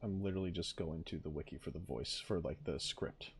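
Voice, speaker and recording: Low-pitched man chatting casually. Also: brisk, a little hiss or hum. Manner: hesitant, thinking aloud. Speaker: streamer. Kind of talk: casual chat.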